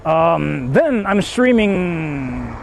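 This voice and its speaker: A man's voice: fairly high pitch, sing-song, drawn-out words, gravelly, noisy background. A man live-streaming outdoors from a phone, rambling with lots of fillers as he explains his tech setup to chat. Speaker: IRL streamer. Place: outdoors in the countryside, on the move. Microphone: phone held while streaming.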